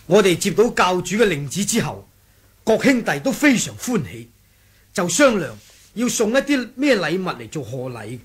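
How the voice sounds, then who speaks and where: A man's voice: sits high, very animated, moderate pace, slightly rough, heavily acted. A man with a Hong Kong Cantonese accent playing a deferential rebel soldier reporting formally to his leader in a radio drama. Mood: respectful. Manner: formal, storytelling, respectful. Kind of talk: 1980s radio drama acting.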